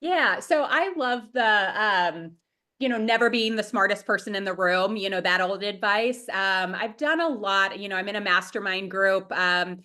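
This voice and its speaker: A woman's voice: mid-range voice, normal speed, pushed and bright. A woman with a head cold, sounding a little sniffly, talking enthusiastically and openly as a dietitian guest on a video call. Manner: enthusiastic, open and talkative. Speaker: dietitian running private practices, guest. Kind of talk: interview answers over video call.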